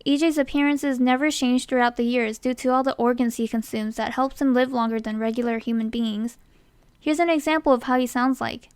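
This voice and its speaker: Girl reading out a casting call script, high clear voice, dry recording. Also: steady tone, a little fast, a little mellow, no echo. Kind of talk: scripted narration.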